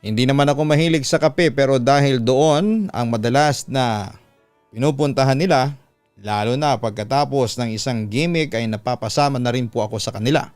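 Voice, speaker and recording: A man with a Filipino accent reading a listener's letter as a radio-style storyteller, smooth and resonant, in a measured, deliberate way. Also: mid-range voice, darkish tone, dry recording. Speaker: radio announcer and story channel host. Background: background music.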